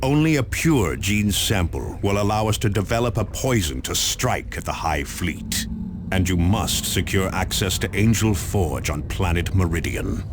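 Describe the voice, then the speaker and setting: Deep, solemn war commander. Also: male voice, noisy background. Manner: solemn, portentous, measured delivery. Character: grave, resolute male warrior commander briefing his troops. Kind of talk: scripted dramatic mission briefing narration.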